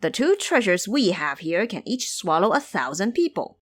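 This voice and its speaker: A woman's voice: medium pitch, sing-song, normal speed, no room sound. A woman voicing a gullible, eager male imp servant in an audio storybook. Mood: unimpressed.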